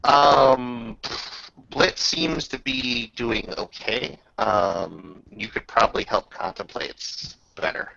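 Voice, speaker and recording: Bright, lively game master running a tabletop game, sweary banter, kinda lo-fi recording. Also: mid-range voice, slow, gravelly, dry recording. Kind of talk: tabletop roleplaying game session, casual narration. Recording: dull lo-fi recording.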